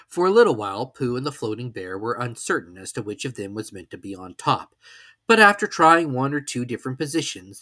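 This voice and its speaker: Children's storybook narrator, very animated intonation, mid-range pitch, dramatic read. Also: husky, dry recording.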